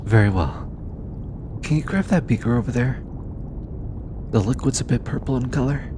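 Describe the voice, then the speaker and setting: A man playing a gentle, studious alien scientist in an intimate audio roleplay, soft-spoken and patient, hesitant when flustered. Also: medium pitch, moderate pace, background noise, natural voice. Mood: calm, focused. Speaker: voice actor. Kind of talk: intimate scripted audio roleplay, addressing the listener.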